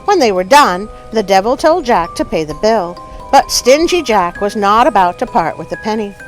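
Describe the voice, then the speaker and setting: Female storyteller, animated folksy delivery with swooping pitch, light music underneath. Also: normal speed. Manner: animated, folksy, addresses listeners as friends. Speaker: host and storyteller of a children's story show. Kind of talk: expressive scripted storytelling.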